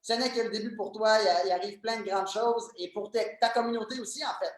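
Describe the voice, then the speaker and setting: A man's voice: sits high, a little fast. A young man in his twenties with a Québécois French accent, chatting as an interview guest, enthusiastic and joking, with many fillers and repeated words. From Quebec, Canada. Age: 20s. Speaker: guest, MLM coach. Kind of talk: casual interview chat. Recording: very echoey room.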